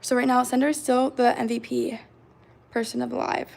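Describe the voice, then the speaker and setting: A woman in her twenties with a raspy, slightly hoarse voice, chatting casually on a livestream, filling her talk with "like". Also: fairly high pitch, flat delivery, a little fast, a little mellow. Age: 20s. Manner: chatty, lots of fillers like "like".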